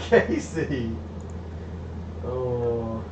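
A man's voice: mid-range voice, big pitch swings, very slow. A man playing a video game with a friend at home, joking and teasing him. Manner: teasing, talks over friend. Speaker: gamer. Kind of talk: casual gaming chat. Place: room at home. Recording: roomy, distant, muffled with clear noise.

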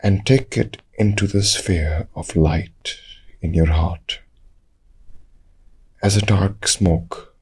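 A man leading a guided meditation, giving calm, measured instructions in short phrases with long pauses. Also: sits low, breathy edge.

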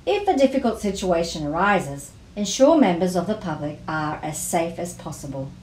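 A woman reading volunteer safety rules aloud, item by item, in a measured way. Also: lowish voice, a little mellow. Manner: measured, list-by-list reading delivery. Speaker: volunteer safety induction presenter. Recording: roomy, echoey voice-over.

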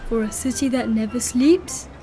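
A woman's voice: a little high, moderate pace, dark tone, soft background music, natural voice. A woman voicing a timid, sheepish father pig in a children's storybook. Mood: sheepish, tired. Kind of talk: animated children's storybook read-aloud.

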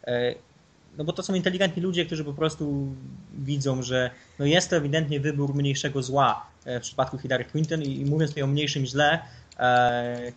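A man's voice: medium pitch, fairly even intonation, moderate pace. A man chatting as a guest on a podcast, giving long, rambling, opinionated explanations. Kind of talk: casual chat.

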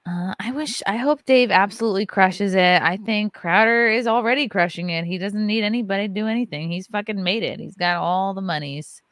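A woman's voice: medium pitch, fairly quick, hardly any pauses, fairly bright tone, dry recording. Woman, 30s, podcast host, casual livestream banter, swears a lot, dry close studio mic. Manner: loose, joking, swears freely. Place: home studio with neon lights. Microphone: large studio mic on arm.

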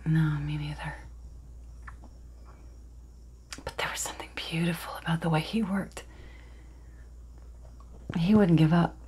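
A woman performing a dramatic monologue as an intense teenage girl entranced by magic, in a hushed, conspiratorial half-whisper. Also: deep voice, moderate pace, slight background noise, lightly acted. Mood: confiding.

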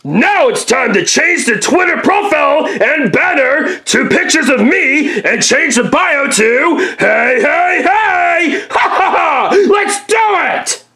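A performer voicing a gloating monster turtle king villain in a cartoon, boastful and drawn-out in delivery. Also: high voice, big pitch swings, bright, forceful tone, exaggerated voice. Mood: triumphant.

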